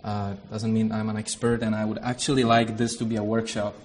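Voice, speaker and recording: Hesitant Czech-accented man, low flat voice. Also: a little fast, slight background noise. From Czech Republic. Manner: hesitant, many fillers, self-deprecating. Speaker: theology lecturer leading a workshop. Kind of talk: informal workshop talk with a prayer.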